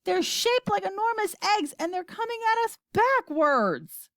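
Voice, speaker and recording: A woman voicing a panicking male astronaut in a children's story, with frantic, desperate shouting. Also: very high voice, sing-song, measured pace, no echo, studio quality, pitched above the performer's own voice, heavily acted.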